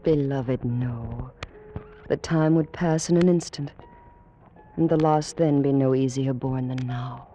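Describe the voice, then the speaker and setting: A woman's voice: very deep voice, slow pace, dark tone, a little hiss or hum, low-quality recording. A woman acting a passionate young noblewoman of ancient Egypt in an old-time radio romance, with formal, theatrical delivery. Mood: fearful, torn.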